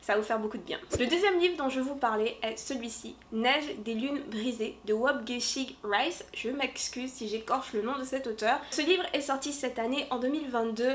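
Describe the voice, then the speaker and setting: A woman vlogger and fantasy author reviewing books in a casual, upbeat way, with frequent filler pauses, filmed in a room she decorated for Halloween. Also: on the high side, slight background noise. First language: French. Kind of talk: casual book review to camera.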